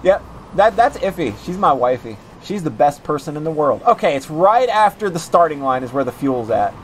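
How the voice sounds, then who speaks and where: A man in his late thirties streaming a racing game with friends, chatting casually and getting loud and excited as he plays. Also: on the high side, moderate pace, a little hiss or hum, poor audio. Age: 30s. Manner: excitable, yells and laughs at the game. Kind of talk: casual gaming chat.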